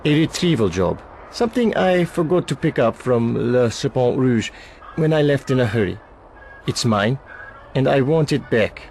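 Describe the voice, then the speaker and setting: Actor as gravelly, shady barman informant. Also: mid-range voice, animated, moderate pace, a little mellow, slight background noise, lightly acted. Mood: wary, bargaining. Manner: guarded, hesitant, shifty. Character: shady, guarded male barman informant, wary and edgy. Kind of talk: video game cutscene acting.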